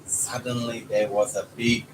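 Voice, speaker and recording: A man's voice: mid-range voice, flat delivery, a little slow. A man reading a children's storybook aloud as a father would to his child, with short asides. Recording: noisy home recording with background sounds. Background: clear ambient noise, young child nearby.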